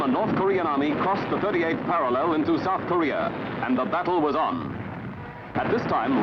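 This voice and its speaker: A man's voice: a little high, dull audio. A man reading scripted documentary narration as a newsreel narrator. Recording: band-limited newsreel soundtrack with music. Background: prominent music.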